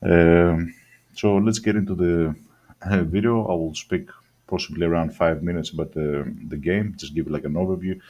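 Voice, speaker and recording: A man's voice: deep voice, fairly even intonation, moderate pace, darkish tone, lo-fi sound. A man who grew up in Australia, with a mixed accent that is partly Greek-sounding, talks casually and hesitantly about a video game and often restarts his sentences. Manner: rambling, hesitant, many ums and restarts. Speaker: gaming channel host. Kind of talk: casual game review talk.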